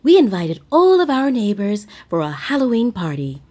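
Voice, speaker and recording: A woman voicing a brisk, cheerful homeowner wife with an emphatic, sing-song delivery. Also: medium pitch, sing-song, darkish tone, voice pushed up.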